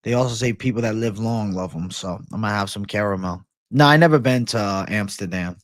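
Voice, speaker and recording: A man's voice: fairly low pitch, brisk, no echo, clean studio sound. A man hosting a casual livestream, chatting and answering viewers in slangy, profane, bro-heavy banter. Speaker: livestream host.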